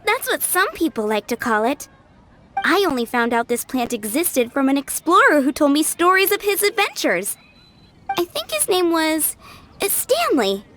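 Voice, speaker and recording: A woman's voice: sits high, big pitch swings, moderate pace, a bit bright, a little hiss or hum. A woman voicing a sweet, airy young woman florist who is giggly, childlike and dreamy. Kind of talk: game voice acting. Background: game music.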